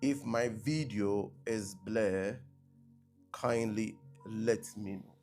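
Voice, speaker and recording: A man preaching on a live stream who repeats his blessings and keeps asking viewers whether he can be heard and seen clearly. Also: fairly low pitch, drawn-out words, darkish tone, faint music behind. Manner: repetitive, checking with online viewers. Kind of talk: live-streamed sermon opening. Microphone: laptop mic on a live stream.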